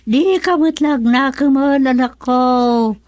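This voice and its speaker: A woman's voice: sits high, slow pace, a little mellow, no echo. A woman acting a fretful, scolding Ilocano mother and grandmother in a radio drama, with an Ilocano accent.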